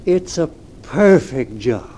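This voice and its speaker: An actor in an old-time radio drama playing a timid, loyal assistant with a conscience, deferential and halting. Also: male voice, medium pitch, very animated, warm tone, breathy edge, full character voice. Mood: dutiful.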